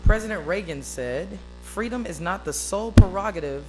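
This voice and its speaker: Loud, booming woman speaker. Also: animated, normal speed, loud music behind the voice, rough recording. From East Arkansas, USA. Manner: emphatic, earnest. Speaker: speaker at an interfaith devotional. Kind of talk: prepared public speech. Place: meeting hall.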